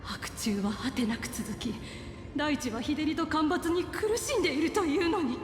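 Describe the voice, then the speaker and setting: A woman's voice: sits high, lively intonation, fast talker. A woman acting a solemn, defiant rain-folk leader in a video game, speaking in a grand ceremonial manner with heavy reverb. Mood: defiant, accusing. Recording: echoing game audio under music.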